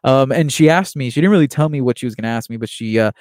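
A young man from Miami, Florida, talking earnestly and quickly in a casual monologue, with plenty of fillers and restarts. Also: medium pitch, a little mellow, no echo, clean studio sound. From Miami, Florida, USA. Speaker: podcast host and baseball writer.

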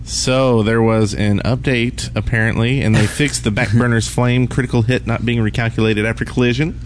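A man's voice: mid-range voice, fairly even intonation, moderate pace. A man co-hosting a casual podcast about gaming, chatting informally. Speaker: podcast co-host. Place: live on an open convention floor. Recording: busy convention floor noise. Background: convention ambience and loudspeaker.

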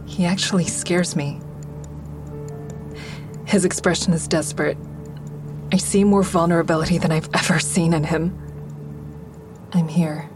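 Actress as a low, tense woman narrator. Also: steady tone, unhurried, pauses often, music in the background, close to an everyday voice. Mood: anxious, gentle. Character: tense, watchful woman narrating her own troubled story. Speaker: narrator and lead actor in a fiction podcast. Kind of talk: first-person dramatic narration.

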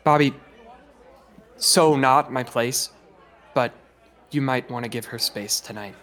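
A knowing, gossipy theater crew member. He speaks in a mid-pitched voice, matter-of-fact, in the style of a scripted audio comedy. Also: takes it slowly, a bit bright, some chatter behind. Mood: dry, knowing.

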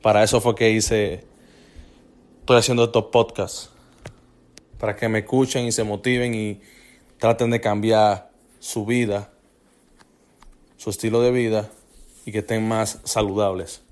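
A man's voice: on the low side, level tone, measured pace, pauses often. A man from the Republic, hosting a casual motivational fitness podcast, talking loosely, repeating himself and dropping in English fillers. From Dominican Republic. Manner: loose and repetitive, restarting phrases, mixing in English fillers.

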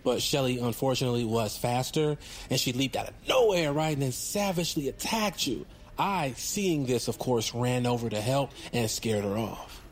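An actor playing a boastful, defensive goofball bounty hunter who rambles in casual slang, in a naturalistic comedic audio drama. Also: male voice, medium pitch, normal speed, a little hiss or hum, natural voice. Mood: boastful, mock modest.

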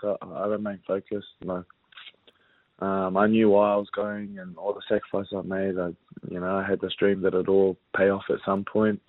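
A man's voice: lowish voice, no room sound. Man from New Zealand on a tinny call line. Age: young adult. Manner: relaxed, halting, many fillers and restarts. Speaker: rugby league player, guest. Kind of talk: casual interview chat. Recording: dry, phone-like narrow sound.

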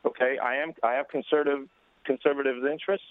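A man from Syria phones in to a talk radio show, his voice thin and tinny over the line. He speaks earnestly and at a measured pace, pausing often to find his words. The sound is dry, with no room around it. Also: medium pitch, steady tone, dry recording. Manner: earnest, measured, with frequent hesitations. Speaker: caller. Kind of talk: call-in radio conversation.

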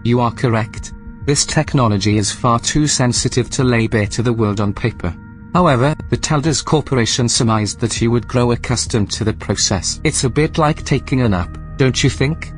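A mid-pitched male machine companion played by an actor from a science fiction audio drama. It is polite and unflappable, choosing formal words and stating everything matter-of-factly, with faint music behind. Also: natural voice. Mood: placid, explanatory. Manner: measured, formal, matter-of-fact. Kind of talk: sci-fi audio drama acting.

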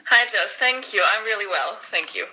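A woman's voice: medium pitch, animated, normal speed, sharp tone, breathy edge, low-quality recording. A woman in her thirties from northern Germany with a German accent, a guest telling her story in a friendly podcast interview and laughing often. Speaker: guest academic.

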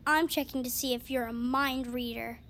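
A woman's voice: high-pitched, steady tone, moderate pace, fairly bright tone, slight background noise. A woman playing a curious, playful little boy in an audio drama.

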